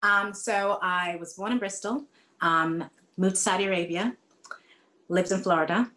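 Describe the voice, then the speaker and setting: A woman's voice: medium pitch, measured pace, fairly bright tone, rough recording. A woman with a mixed Ghanaian, British and American accent, chatting as a guest in a casual podcast interview and often saying um. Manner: chatty, with frequent ums.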